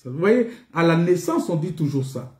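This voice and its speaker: A man preaching a sermon in an emphatic, repetitive teaching manner, pausing on rhetorical questions. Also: fairly high pitch, sing-song, darkish tone. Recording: echoey, reverberant room.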